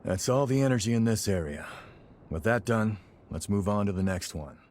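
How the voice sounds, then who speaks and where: An actor as a deep-voiced, reflective warrior mentor. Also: male voice, expressive, moderate pace, darkish tone, natural voice. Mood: reflective, wistful. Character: seasoned male revenant warrior, calm, reflective mentor and loyal comrade. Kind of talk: video game dramatic dialogue.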